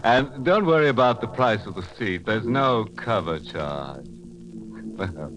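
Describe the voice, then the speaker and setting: A man playing a ghoulish, darkly jovial host of an old radio horror show, with a slow, macabre, mock-cordial manner. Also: fairly low pitch, animated, noisy background. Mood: sly, ghoulish. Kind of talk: 1940s radio horror hosting. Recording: old radio recording with music behind.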